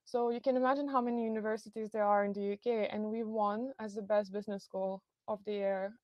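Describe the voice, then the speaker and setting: A woman's voice: medium pitch, fairly even intonation, fairly quick, no room sound, muffled recording. A young woman from Lithuania with a Lithuanian accent, giving a university recruitment webinar in a friendly, informative way with many fillers. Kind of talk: webinar presentation. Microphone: computer mic on a video call.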